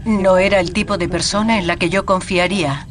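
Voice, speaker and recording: An actress playing an uneasy, distrustful neighbor giving dramatized testimony. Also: female voice, fairly low pitch, steady tone, brisk, over music, natural voice. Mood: wary.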